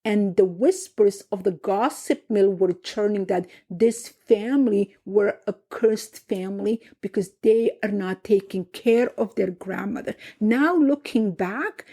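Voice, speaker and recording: A middle-aged woman from Somalia, narrating her own family memoir in a reflective, unhurried way. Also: medium pitch, warm tone. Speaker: podcast host and narrator. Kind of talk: personal memoir storytelling.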